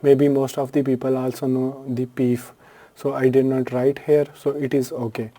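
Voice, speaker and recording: A man's voice: medium pitch, flat delivery, moderate pace, dark tone. A man from Pakistan whose first language is Urdu, talking through a screen-share tutorial in a loose, unscripted way with frequent fillers and self-corrections. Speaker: tutorial host.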